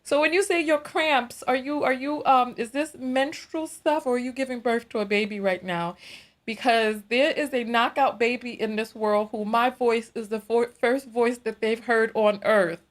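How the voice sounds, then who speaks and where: A woman's voice: on the high side, moderate pace. A woman with a New York accent hosting a casual livestream, chatting and reading viewer comments, laughing and repeating herself. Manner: chatty, animated, laughs often, repeats phrases. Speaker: livestream host.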